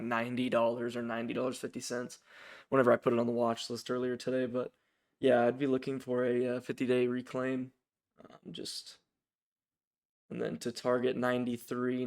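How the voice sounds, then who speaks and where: A man hosting a casual, unscripted stock watchlist, raspy from strep throat, informal and hesitant as he thinks aloud. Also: medium pitch, completely flat delivery, normal speed. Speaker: stock trading channel host.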